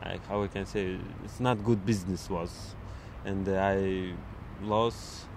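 A man being interviewed outdoors, speaking haltingly with many fillers as he searches for words. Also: low-pitched, steady tone. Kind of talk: casual street interview. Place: outdoors on a city street. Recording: outdoor street noise with traffic.

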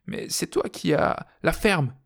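A man's voice: a little high, huge pitch swings, slow, creaky, fairly bright tone, pitched above the performer's own voice, heavily acted. A man voicing a female astronaut in an audiobook, distrustful, indignant and accusing. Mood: furious. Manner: accusing, questioning.

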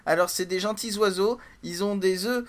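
A man's voice: sits high, normal speed. A man co-hosting a casual comedy podcast, hesitant at first, then playful as he explains things simply. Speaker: podcast co-host.

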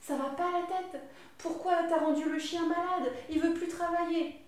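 A bright, eager young boy, as a woman storyteller puts him on, firing off questions with a raised voice. It rings in a very echoey room. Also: extremely high pitch, fairly even intonation, moderate pace, recorded from a distance, pitched above the performer's own voice. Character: lively, curious young farm boy.

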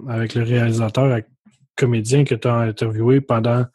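A man, hosting a podcast and asking loose, relaxed questions. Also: mid-range voice, a little fast, husky, no echo. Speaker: podcast host. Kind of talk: casual interview talk.

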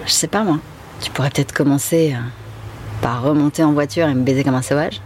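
An actress playing a brazen, coaxing lover in a crime drama, with casual, naturalistic phrasing. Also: female voice, low voice, steady tone, brisk, a little mellow, slight background noise, lightly acted. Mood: coaxing, seductive. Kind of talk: naturalistic audio drama dialogue.

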